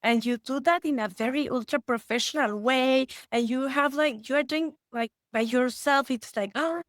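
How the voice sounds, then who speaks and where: A woman's voice: sits high, normal speed, no echo, studio quality. Earnest woman. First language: Spanish. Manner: earnest, with frequent restarts and rising excitement. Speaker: guest expert. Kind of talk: conversational podcast interview.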